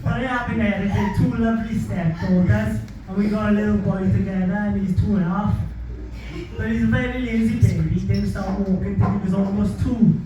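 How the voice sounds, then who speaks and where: A young Welsh man doing stand-up, telling stories in a deadpan, sweary way. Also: sits high, fairly quick, music in the background. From Wales. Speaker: stand-up comedian. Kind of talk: stand-up comedy set. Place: theatre stage with audience. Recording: echoey hall from far off, audience noise.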